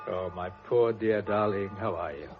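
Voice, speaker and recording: A man's voice: lowish voice, moderate pace, close to an everyday voice. An actor playing a hearty, affectionate father in a radio drama. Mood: genial. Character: genial, affectionate father arriving home late. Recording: tinny, band-limited radio drama with music.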